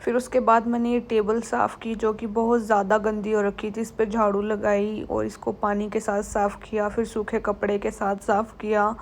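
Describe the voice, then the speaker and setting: A woman's voice: mid-range voice, completely flat delivery, moderate pace, hardly any pauses. A young woman with a sore throat narrating her day in a casual home vlog, chatty and mixing English words into Hindi. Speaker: vlogger.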